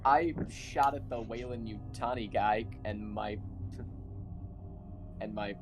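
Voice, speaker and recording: A man's voice: fairly low pitch, takes it slowly, soft background music. A man chatting casually as a player in a tabletop roleplaying game. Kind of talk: casual chat.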